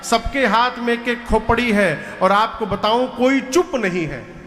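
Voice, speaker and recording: A man's voice: very high-pitched, measured pace, a bit bright, big-room sound. A man telling a devotional story as a sermon, joking and drawing responses from his listeners. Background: devotional music with instruments.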